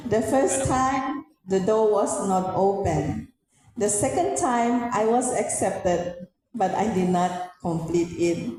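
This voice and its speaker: Slow-speaking woman in a very echoey hall. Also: medium pitch, a little mellow. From Malaysia. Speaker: graduate giving a thanksgiving speech. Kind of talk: formal public testimony speech. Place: large indoor hall at a ceremony. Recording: echoey hall, long reverb, music behind. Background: music.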